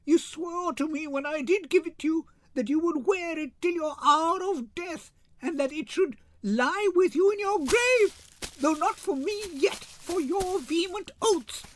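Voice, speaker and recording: A man voicing a sharp-tongued, fiery young wife who scolds in quick, theatrical Shakespearean verse. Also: extremely high pitch, a little hiss or hum, pitched above the performer's own voice. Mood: scolding.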